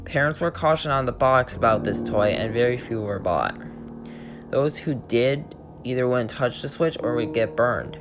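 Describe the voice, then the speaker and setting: A man at a middle pitch reads a creepy internet story aloud to his channel, keeping a plain tone and tripping over words before starting lines again. Guitar music plays clearly behind his voice. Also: animated, normal speed. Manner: plain reading with frequent stumbles and restarts. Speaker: channel host and story reader.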